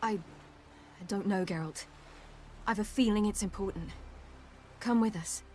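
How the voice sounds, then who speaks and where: A woman's voice: medium pitch, a little slow, darkish tone, a little hiss or hum, close to an everyday voice. An actress playing an earnest, uneasy young warrior woman in a video game cutscene.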